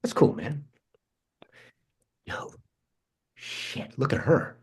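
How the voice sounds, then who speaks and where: An actor reading the part of an impulsive, foul-mouthed American man at a screenplay table read, casual and animated, with a husky voice. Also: very slow. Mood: eager, concerned. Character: Benji, amped, impulsive, foul-mouthed American male cousin.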